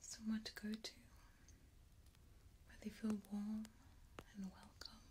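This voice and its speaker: A young woman playing a gentle, wistful, kindly goddess of the hearth in a hushed, unhurried ASMR roleplay. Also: mid-range voice, completely flat delivery, background noise, natural voice. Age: young adult. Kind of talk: soft-spoken ASMR roleplay.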